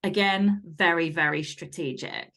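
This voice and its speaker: A woman with a moderately low voice talks as a guest author in a relaxed interview format. She is candid and informal, spelling out her thinking in detail as she goes. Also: measured pace. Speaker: podcast guest, fiction author. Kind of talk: casual interview chat.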